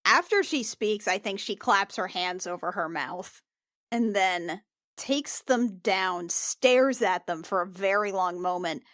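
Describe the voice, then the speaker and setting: A woman's voice: medium pitch, slow pace, fairly bright tone, no echo, clean studio sound. A woman talking casually as a player in a tabletop roleplaying game, describing what her character does.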